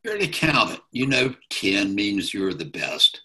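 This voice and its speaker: A man playing a gruff old dad. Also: fairly low pitch, very animated, measured pace, sharp tone, full character voice, rough recording. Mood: dry. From New York. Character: blunt elderly father, gruff and teasing.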